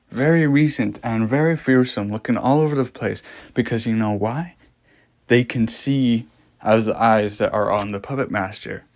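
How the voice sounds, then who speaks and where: A man playing a morbidly fascinated scientist explaining a monster in a horror audio log, lecturing with dramatic pauses and rhetorical questions. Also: lowish voice, natural voice.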